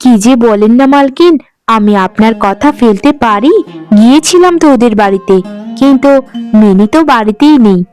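A woman's voice: fairly high pitch, expressive, moderate pace, a little mellow, lightly acted, rough recording. A woman playing a dutiful young housemaid in a cartoon, polite and earnest in the way she talks. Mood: deferential, pleading. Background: faint music.

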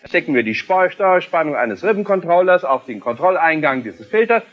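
A man's voice: on the high side, very animated, fairly quick. A man in his sixties from Germany presenting a TV demonstration of electronic music equipment, explaining with a showman's emphasis. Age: 60s. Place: indoor electronic music studio. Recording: dull lo-fi 1970s television tape.

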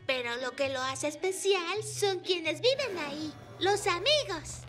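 A performer voicing a small, excitable little-sister motorbike in an animated children's cartoon, full of childlike exclamations and admiration. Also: very high-pitched, measured pace. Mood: earnest. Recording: music behind the voice.

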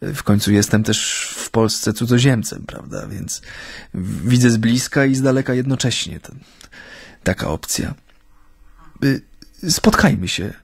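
Slow, gravelly, halting ailing man. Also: medium pitch, no echo. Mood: reflective. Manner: halting, hesitant, searching for words. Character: ailing middle-aged Japanese man settled in Poland, reflective, courteous. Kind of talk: naturalistic radio drama acting.

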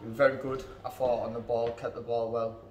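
Young man, very flat voice, echoey. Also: mid-range voice, brisk, slight background noise. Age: young adult. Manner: plain, matter-of-fact, frequent fillers. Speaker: football team captain. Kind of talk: post-match interview. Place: indoors in front of a club sponsor backdrop. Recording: echoey room, mic at a distance.

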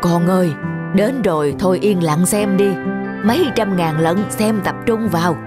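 An actress voicing a gentle, frugal elderly country mother in an animated drama, homely and unhurried in manner. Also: lowish voice, loud music behind the voice, lightly acted. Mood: mildly chiding.

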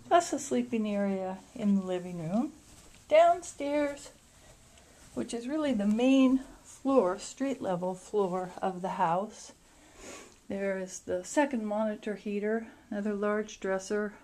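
A woman's voice: medium pitch, dark tone. A woman hosting a casual walk-through tour of a rental house, speaking in short descriptive phrases as she moves from room to room. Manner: short descriptive phrases while walking about. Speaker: vacation rental host giving a house tour. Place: indoors, moving through rooms of a house. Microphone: handheld camera's built-in mic. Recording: noisy handheld camera recording.

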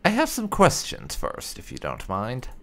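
A man's voice: mid-range voice, huge pitch swings, unhurried. A man giving casual gameplay commentary and reading dialogue choices aloud, in a musing, understated, polite manner. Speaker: game streamer doing roleplay playthrough.

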